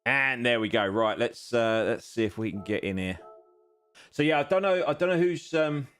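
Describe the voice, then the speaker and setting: A man's voice: medium pitch, lively intonation, moderate pace, a bit bright, smooth, soft background music. A man in his fifties chatting casually on a live stream while seated at a home racing simulator, self-deprecating and chuckling often. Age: 50s.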